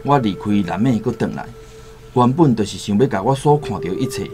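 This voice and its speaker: A Taiwanese-accented actor playing a cautious, worldly returned seafarer in a radio drama, solemn and deliberate. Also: male voice, lowish voice, animated. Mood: earnest, persuasive. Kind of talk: radio drama acting with first-person storytelling. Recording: music behind the voice.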